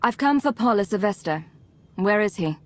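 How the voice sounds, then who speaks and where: A woman playing a composed, resolute Imperial agent commander in a video game, speaking in a measured, firm manner. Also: mid-range voice, lively intonation, lightly acted.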